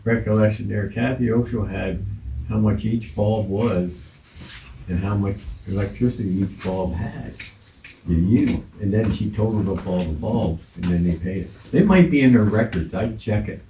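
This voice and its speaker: A man's voice: lowish voice, moderate pace, warm tone. An American man talking informally at a council meeting in an indoor chamber. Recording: echoey room, distant mic, faint noise.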